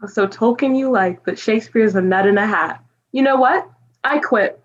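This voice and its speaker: Woman playing exasperated skeptical guy, stage comedy, blunt, incredulous, high voice, muffled lo-fi sound. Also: moderate pace, natural voice. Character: exasperated, skeptical young man, bewildered listener William.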